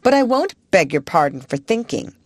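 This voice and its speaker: A woman's voice: fairly low pitch, very animated, moderate pace, no room sound, voice pushed up. Woman playing a politely defiant young schoolgirl.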